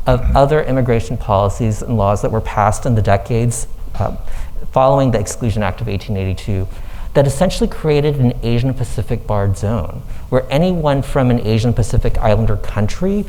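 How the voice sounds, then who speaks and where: Thailand-born man, foundation director, earnest measured panel talk in a room. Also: mid-range voice, expressive, dark tone, poor audio. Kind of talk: panel presentation with slides. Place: event room with live audience.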